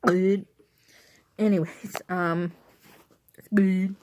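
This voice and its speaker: Hoarse rambling young woman, Illinois accent. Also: fairly low pitch, little pitch movement, drawn-out words, a little mellow. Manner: rambling, repeats and restarts herself. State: hoarse, stuffy nose. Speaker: vlogger. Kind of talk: casual chat to camera. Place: at home on the couch. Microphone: handheld camera.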